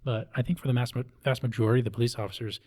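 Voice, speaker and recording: An adult man from Hawaii with a mid-pitched voice talks as an interview guest. He explains his points conversationally, often tagging them with a quick check for agreement. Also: fairly even intonation, a little mellow. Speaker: police officer interviewee.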